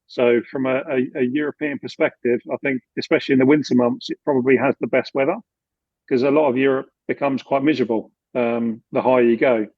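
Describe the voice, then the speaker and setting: A man from the UK chatting in an interview, relaxed, with many fillers and restarts. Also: mid-range voice, quick pace, no room sound, highs cut off. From United Kingdom. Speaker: guest, expat finance expert. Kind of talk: casual interview conversation.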